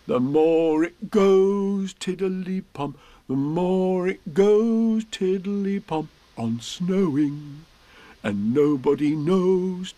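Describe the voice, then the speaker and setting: A man's voice: mid-range voice, darkish tone, husky, no room sound. A man voicing a cheerful, muddled male teddy bear who hums and talks in a bumbling, sing-song way.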